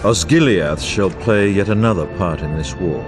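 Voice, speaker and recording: An actor playing a grave, wise war narrator in a fantasy video game, with dramatic, archaic and formal delivery over music. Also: male voice, sits low, normal speed, lightly acted. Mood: grave, stirring.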